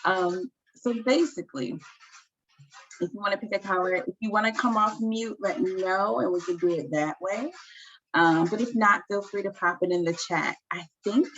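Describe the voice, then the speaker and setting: A woman's voice: medium pitch, animated, normal speed, fairly bright tone, husky. A woman hosting an online workshop over a video call, chatty and upbeat with frequent ums and likes. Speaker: workshop host. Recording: slightly dull video call audio with faint noise.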